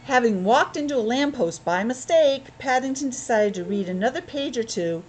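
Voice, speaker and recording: Woman reading aloud with lively, swooping pitch. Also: normal speed, faint music behind. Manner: chatty, explaining and questioning as to young children. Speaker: teacher reading a picture book aloud to children. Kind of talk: read-aloud storytelling with casual asides.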